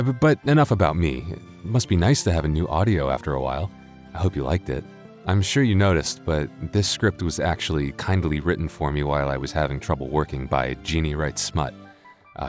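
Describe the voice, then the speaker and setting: A man playing a goofy, laid-back otherworldly computer being who hosts an audio channel, chatting casually in a sheepish, halting, jokey way. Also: deep voice. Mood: friendly, casual. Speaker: audio creator addressing listeners. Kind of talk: casual in-character outro chat.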